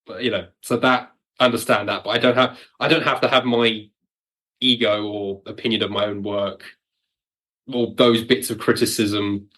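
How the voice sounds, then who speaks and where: A man with a fairly low voice speaks as a writer being interviewed. He chats casually and wryly, slipping into little acted-out reactions as he explains things. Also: fairly even intonation, normal speed, bright, forceful tone. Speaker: audio drama writer, podcast guest.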